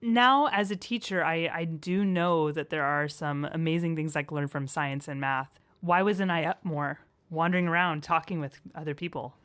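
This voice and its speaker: A woman teacher co-hosting a casual podcast, cheerful and quick to laugh. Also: sits low, a bit bright.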